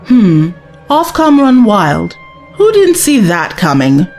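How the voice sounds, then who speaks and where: An actress playing a spirited, cheeky young woman companion in a science fiction audio drama, expressive and teasing. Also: medium pitch, takes it slowly, faint music behind. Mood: wry.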